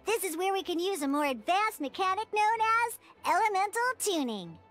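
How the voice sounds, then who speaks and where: Squeaky, very high-pitched game mascot. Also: female voice, normal speed, full character voice. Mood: explaining, encouraging. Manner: eager, chirpy, expressive exclamations. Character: peppy young cartoon game mascot guiding a card tutorial. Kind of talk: video game tutorial dialogue.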